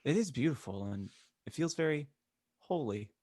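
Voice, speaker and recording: A man voicing an earnest, pious eleven-year-old boy who speaks gravely, in a podcast reading of fan fiction. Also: medium pitch, expressive, moderate pace, a little mellow, dry recording, studio quality, close to an everyday voice. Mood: solemn. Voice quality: solemn, grave.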